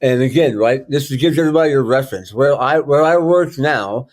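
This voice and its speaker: Male podcast host, relaxed and chatty, mid-pitched, rambles through numbers with filler words. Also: a little fast. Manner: chatty, loose, thinks aloud with many ums. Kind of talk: casual podcast interview.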